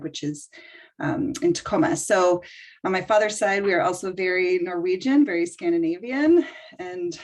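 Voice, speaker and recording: A woman from Washington State introducing herself thoughtfully on a call, with many fillers. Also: lowish voice. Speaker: participant in a video call. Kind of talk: casual self-introduction.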